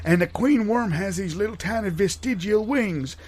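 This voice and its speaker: A high-pitched older country fellow acted by a man spins tall tales in improvised audio comedy. His delivery is folksy and emphatic, stubbornly sure of every word. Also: normal speed, a little mellow. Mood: dramatic, folksy. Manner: folksy, emphatic, yarn-spinning.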